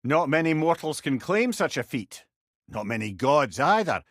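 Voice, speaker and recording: A man's voice: fairly high pitch, sing-song, moderate pace, no echo, clean studio sound. A man playing a wise, wry old advisor in a video game, a chatty and learned storyteller with a Scottish accent. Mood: wry, musing. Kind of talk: video game character dialogue.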